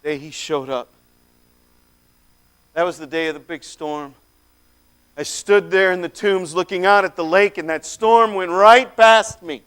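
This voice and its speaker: Brief: high, smooth voice; a man as a once-possessed man, haunted and fervent, hushed to shouting. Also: measured pace, long pauses. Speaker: dramatic monologue performer. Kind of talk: first-person dramatic monologue.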